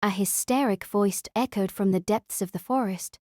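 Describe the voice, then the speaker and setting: Audiobook lady, average pitch, reading narration, really dry audio. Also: moderate pace, darkish tone, no echo.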